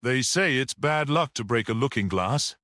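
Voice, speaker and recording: A man voicing a modest, calm priest detective with a mild manner in a storybook reading. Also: medium pitch, normal speed, dry recording, clean studio sound, pitched above the performer's own voice, lightly acted. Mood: musing. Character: modest, quiet, observant male priest detective.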